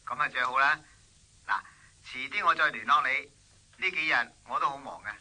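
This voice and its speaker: An actor playing an earnest suitor, eager to please, in a Hong Kong Cantonese radio drama. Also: male voice, mid-range voice, animated, fairly quick, sharp tone. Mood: relieved.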